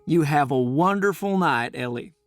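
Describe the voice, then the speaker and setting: An actor playing a folksy, easygoing male jailer in an audio drama, with a creaky voice. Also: fairly high pitch, animated, moderate pace, no room sound, studio quality, faint music behind, natural voice. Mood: chipper. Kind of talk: dramatic dialogue in fiction podcast.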